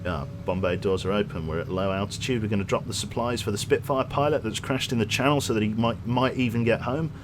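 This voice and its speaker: A man giving gameplay commentary as a streamer, excitable and casually swearing, his voice going a little hoarse. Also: fairly low pitch, quick pace, hardly any pauses, noisy background. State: losing his voice.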